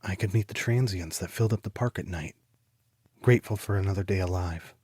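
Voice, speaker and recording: Man acting a troubled detective, very low register, husky, close dry sound, brooding first-person audio drama narration. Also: a little mellow, dry recording. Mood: bitter, weary. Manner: deliberate, literary, brooding storytelling. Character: troubled, brooding male homicide detective narrating his case.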